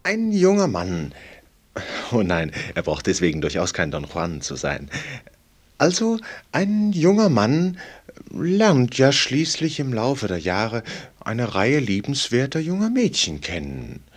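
A worldly, playful gentleman confidant in a radio play. His voice is husky and swings widely in pitch as he muses theatrically, lingering over rhetorical pauses. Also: wildly swooping pitch, heavily acted. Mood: playful musing. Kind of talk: German radio play acting.

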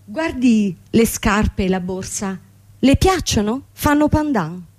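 An actress playing a vain, aloof young company director in a radio drama, detached and offhand in manner. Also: female voice, on the high side, animated, slow, dry recording. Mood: vain, distracted. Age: young adult. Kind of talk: theatrical radio drama acting.

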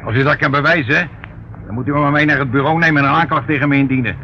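An actor playing an aggrieved, cheeky small-time crook in a radio play, whining and defiant. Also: male voice, a little high, racing, lightly acted. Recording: muffled, noisy old radio recording.

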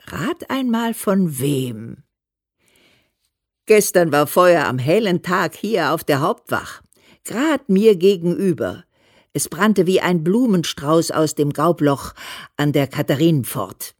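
A woman's voice: sits low, a little mellow, slightly rough, dry recording, clean studio sound, full character voice. An actress playing an earthy, humorous elderly mother with a Hessian accent, telling folksy letters in a radio play. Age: older. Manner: leisurely, folksy storytelling.

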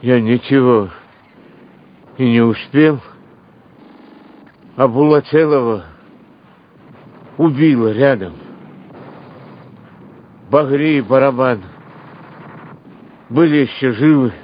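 An actor playing a humble, wounded soldier in a radio war drama, speaking haltingly and pleadingly. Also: male voice, medium pitch, lightly acted. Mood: grieving. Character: wounded male soldier, humble, devoted to his commander. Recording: muffled lo-fi, battle noise behind.

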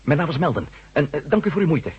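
A man's voice: a little high, big pitch swings, fast talker, slightly rough, full character voice. A man playing an impulsive, decisive reporter and adventurer in an old radio drama. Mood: insistent. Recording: noisy, dull old radio recording.

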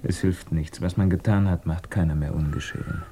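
A bitter, guilt-racked émigré with a low, dark voice. He sounds bleak and agitated, brooding in the manner of 1950s radio drama. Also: steady tone, brisk. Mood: bitter despair. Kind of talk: 1950s German radio play acting.

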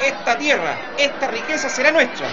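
A man's voice: very high voice, very animated, fairly quick, muffled recording, very noisy. A man playing an idealistic president in a radio play, speaking. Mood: impassioned, resolute. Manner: declamatory. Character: idealistic honest Chilean president, impassioned orator. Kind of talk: radio theatre acting. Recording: loud music and crowd behind.

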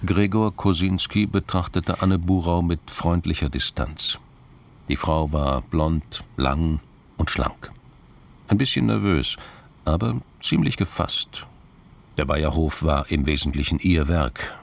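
A man narrating a scripted crime drama in a gravelly voice, measured and understated. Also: very deep voice.

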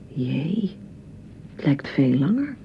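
Jittery touchy newlywed bride, dutch from the netherlands, lowish voice, rambly and hesitant, radio play, bit muffled. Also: female voice, big pitch swings, slow pace, heavily acted. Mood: nervous, flustered. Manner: hesitant, rambling, self-correcting. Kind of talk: naturalistic radio play dialogue. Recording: muffled radio broadcast with rumbling noise.

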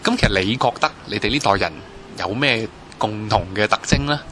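A man's voice: fairly low pitch, brisk. A man with a Hong Kong accent interviewing someone in a casual, presenting style. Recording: crowd babble behind the voice. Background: crowd noise.

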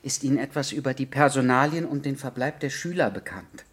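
An actor playing an officious aviation investigator in a radio drama, formal and easily flustered. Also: male voice, medium pitch, fairly quick, a bit bright, breathy edge. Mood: flustered, inquiring. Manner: formal, hesitant, muttering to himself.

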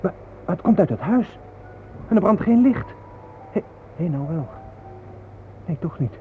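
Nervous questioning visitor in a radio play, voice moves around a lot, noisy thin old recording. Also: male voice, high-pitched, big pitch swings, measured pace, narrow, tinny audio. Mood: uneasy wonder. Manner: wondering, hesitant, questioning. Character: uneasy, questioning male visitor to old battlefields. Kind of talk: naturalistic radio play acting.

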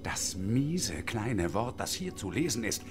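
A man's voice: fairly low pitch, very animated, takes it slowly, over music. An actor playing a bitter, stubborn unemployed engineer in his fifties, sardonic and pointed, in a German radio drama. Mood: bitter, needling. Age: middle-aged.

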